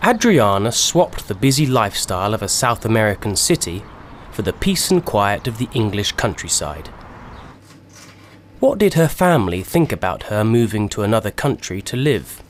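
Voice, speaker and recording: A man giving scripted narration for a language-learning documentary, with a lively delivery. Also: fairly low pitch, moderate pace, a little hiss or hum. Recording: narration over clear music.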